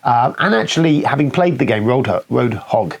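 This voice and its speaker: A British man presents a casual board game video with very animated pitch. His voice is a little raspy and creaky, and he rambles along with self-deprecating, sweary asides. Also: moderate pace. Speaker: board game review show host.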